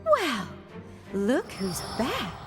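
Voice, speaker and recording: A woman's voice: fairly high pitch, huge pitch swings, very slow, heavily acted. A teasing spirit lady. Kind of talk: video game voice acting. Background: game music.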